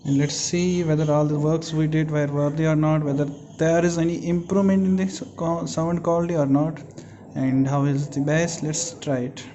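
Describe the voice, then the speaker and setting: A man with a slightly high voice hosts a casual do-it-yourself tutorial. He explains each step as he goes and thinks out loud while he works with his hands. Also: little pitch movement, normal speed, lo-fi sound, slight background noise. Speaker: host of a DIY electronics build video.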